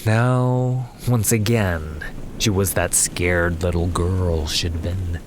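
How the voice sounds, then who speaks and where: A man's voice: deep voice, darkish tone, a little hiss or hum. A man narrating a fantasy story in a dramatic, scripted audiobook style. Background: music.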